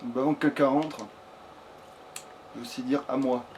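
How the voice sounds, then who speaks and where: A man's voice: mid-range voice, fairly even intonation, normal speed, darkish tone, a little hiss or hum. A man giving casual, rambling let's play commentary peppered with swearing. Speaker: let's player.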